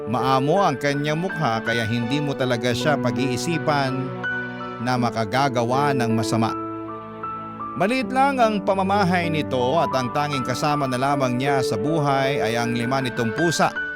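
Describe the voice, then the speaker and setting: A man's voice: mid-range voice, normal speed. A man with a Filipino accent narrating a scripted first-person story in classic radio drama style. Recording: loud music bed under the voice.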